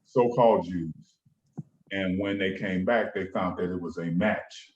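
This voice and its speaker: A low-voiced man joins a casual faith discussion and explains things steadily. His audio comes through muffled and echoey, with a roomy ring and a dull, narrow band. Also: measured pace, a little mellow, a little hiss or hum. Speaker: guest panelist.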